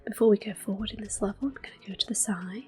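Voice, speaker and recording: A woman whispering soft, unhurried commentary as she plays through a video game for an ASMR channel. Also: medium pitch, soft background music. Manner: soft, unhurried whisper with occasional soft-spoken words. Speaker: ASMR gameplay host.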